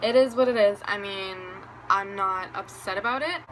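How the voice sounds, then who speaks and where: A woman's voice: mid-range voice, normal speed, fairly bright tone, poor audio. A young woman from Venezuela telling a candid, rambling story time for her vlog, laughing now and then. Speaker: beauty vlogger. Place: room at home with a fan running. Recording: fan hum in background.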